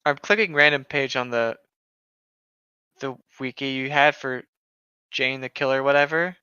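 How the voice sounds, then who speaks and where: A man's voice: mid-range voice, unhurried, pushed and bright, clear, resonant tone, dry recording, lo-fi sound. A man reading a story aloud and bantering in a sarcastic, joking way. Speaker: co-host of a creepypasta reading show.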